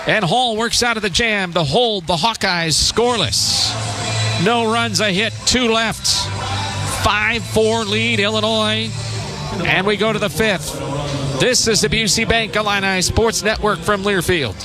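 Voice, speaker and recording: A man's voice: high voice, unhurried, music in the background. A man doing live radio baseball play-by-play, giving clipped calls and statistics from a stadium. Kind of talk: live radio sports commentary.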